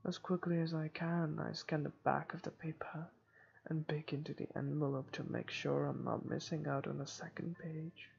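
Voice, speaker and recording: Male playthrough reader, slightly high gravelly voice, dramatic but halting delivery of horror narration, dull mic, faint music behind. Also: fairly even intonation, darkish tone. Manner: halting, stumbles and rereads. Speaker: gamer reading a visual novel aloud. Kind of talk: dramatic read-aloud of first-person horror narration. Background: game music.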